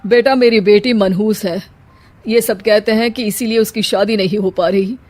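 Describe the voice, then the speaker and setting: A woman's voice: mid-range voice, steady tone, normal speed, a little mellow, close to an everyday voice. A woman voicing a frustrated, grumbling, sarcastic father in a cartoon family drama. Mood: weary, defeated. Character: frustrated, blustering father of the bride. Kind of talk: Hindi moral-story cartoon dubbing.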